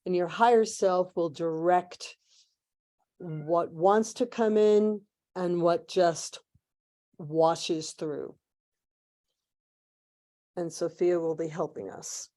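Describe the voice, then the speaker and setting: A woman leads a guided meditation in a lowish, very mellow voice. She speaks very slowly, in short measured phrases separated by long pauses. The delivery is hushed and spiritual, inviting listeners inward.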